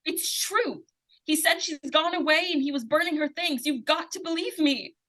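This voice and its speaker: Woman playing high-voiced, earnest young girl. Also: normal speed, pushed and bright, poor audio. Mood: frantic, pleading. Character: young girl, urgent, earnest, excitable daughter. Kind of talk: old-time radio suspense acting.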